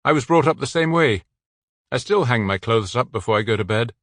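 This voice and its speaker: A man reading the part of a patient country policeman who talks in a calm, coaxing, matter-of-fact way. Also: mid-range voice, a little fast, no room sound, lightly acted.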